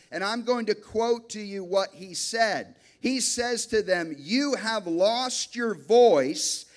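A man in his thirties preaching a sermon on stage into a handheld mic, emphatic and deliberate, with dramatic pauses. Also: high voice. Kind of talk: sermon to a church congregation. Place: large dark church auditorium, on stage before an audience. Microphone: handheld microphone.

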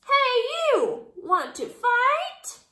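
A woman voicing a small, grouchy, pugnacious storybook ladybug with loud, blustering, drawn-out delivery. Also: very high-pitched, huge pitch swings, pitched above the performer's own voice, heavily acted. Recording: roomy indoor echo.

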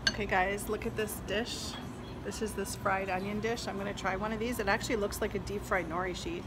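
A woman's voice: medium pitch, steady tone, a little fast, over loud music. A woman in her thirties talking to a phone camera at arm's length, reviewing a restaurant in a chatty, enthusiastic way. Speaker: food vlogger. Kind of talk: casual restaurant review vlog. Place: indoors in a restaurant, later outdoors by a parking lot. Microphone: phone camera held at arm's length.

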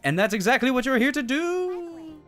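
Lively male streamer, music behind. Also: very high-pitched, moderate pace. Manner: playful, jokey. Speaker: game streamer co-host. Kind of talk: casual livestream banter and reading game text aloud. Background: game music.

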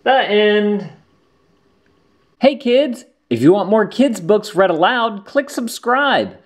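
A man reading a children's storybook aloud as host, in an animated, deliberate way for young listeners. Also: high voice. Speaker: children's book reader and channel host.

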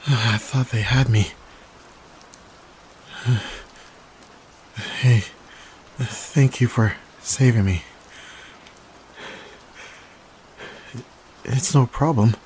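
A man playing a timid, gentle young catboy in an ASMR roleplay, speaking hesitantly with stammers. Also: mid-range voice, natural voice. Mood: relieved, apologetic. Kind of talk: soft-spoken ASMR roleplay. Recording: steady rain noise behind voice. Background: rain.

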